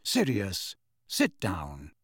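A man playing a mild, reasonable but firm man in a storybook, measured and diplomatic. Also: on the high side, big pitch swings, no echo, clean studio sound. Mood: sharp.

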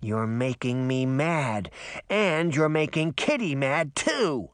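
A man voicing an unhinged little girl who speaks slowly and dreamily. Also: medium pitch, sing-song, no echo, studio quality. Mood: menacing. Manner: slow, dreamy and insistent.

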